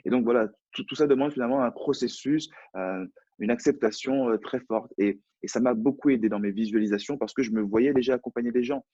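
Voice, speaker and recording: A man's voice: medium pitch, fairly quick, dark tone, no room sound, muffled recording. A French man, a personal development coach, talking in a live online chat, hesitant and often repeating words. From France.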